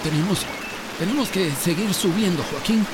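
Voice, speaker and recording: An actor playing a frightened, awestruck man facing disaster in a radio drama, halting, with a Central American Spanish accent. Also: sits high, lightly acted. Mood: frightened, awed. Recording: very noisy, rain and stream.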